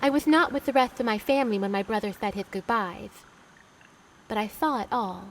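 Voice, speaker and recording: A woman playing a bitter, adventurous young seafarer in an audio drama, recounting her youth in deliberate, theatrical storytelling. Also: mid-range voice, a little hiss or hum, close to an everyday voice, poor audio. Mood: wistful, elated. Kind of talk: scripted dramatic monologue narration.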